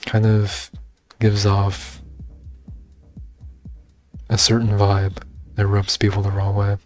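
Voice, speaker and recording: A young man with a soft, low voice speaks slowly in an almost monotone delivery. He sounds reserved and hesitant, filling pauses with likes and you knows. Faint music plays underneath. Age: young adult. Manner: soft-spoken, reserved, hesitant with many fillers. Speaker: vlogger. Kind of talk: personal monologue.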